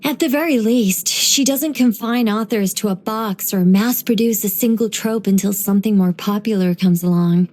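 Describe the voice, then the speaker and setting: A woman voicing a gentle, wise young angelic woman in game voice lines, soft and wryly musing. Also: mid-range voice, moderate pace, hardly any pauses, close to an everyday voice.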